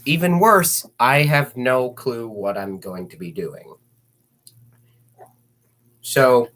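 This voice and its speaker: A man's voice: medium pitch, fairly bright tone. A teenage boy chatting on a livestream, rambling and self-mocking with jokey asides. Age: teens.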